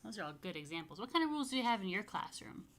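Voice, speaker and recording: A woman's voice: medium pitch, sing-song, very fast, fairly bright tone. A woman rabbi doing a read-aloud storytime for young children, conversational, with explanatory asides.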